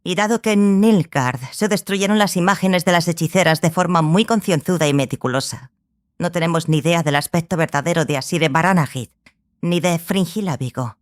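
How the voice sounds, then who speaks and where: An actress playing a serious, scholarly sorceress in an audiobook drama, speaking in a firm, measured, didactic way. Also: female voice, on the low side, no echo, clean studio sound, lightly acted. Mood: didactic, regretful.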